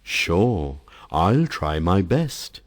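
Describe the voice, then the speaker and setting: Male reader doing a calm sun figure, low slow gentle tone. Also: no echo, studio quality, full character voice. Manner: gentle, reasoning.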